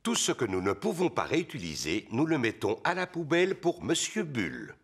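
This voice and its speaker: A man's voice: mid-range voice, wildly swooping pitch, normal speed, background noise. A man voicing a kindly, easygoing daddy pig, patient and playful, in a French-dubbed preschool cartoon. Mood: explaining.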